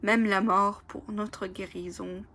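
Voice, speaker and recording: A woman whose first language is Italian, casually presenting oracle cards, reading their lines aloud slowly and whispering in awe, with many fillers. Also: medium pitch, fairly even intonation. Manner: soft, often whispering in awe, hesitant with fillers. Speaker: oracle card channel host.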